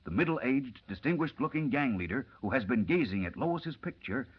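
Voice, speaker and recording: A man's voice: medium pitch, lively intonation, fairly quick, slightly rough. A man giving formal, suspenseful scripted narration in the style of a 1940s radio serial. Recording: muffled old-time radio recording.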